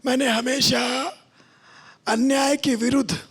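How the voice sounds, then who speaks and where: A man voicing another man whose throat is worn out, talking in a strained, hoarse, drawn-out way. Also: very high voice, steady tone, a bit bright, voice pushed up, full character voice. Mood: earnest.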